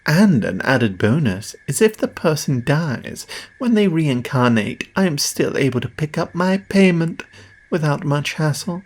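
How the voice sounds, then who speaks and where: A man's voice: a little high, big pitch swings, a little slow, a little mellow. A man playing a cocky, condescending, powerful demon who makes deals, performed as a theatrical audio roleplay spoken straight to the listener. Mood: amused, smug.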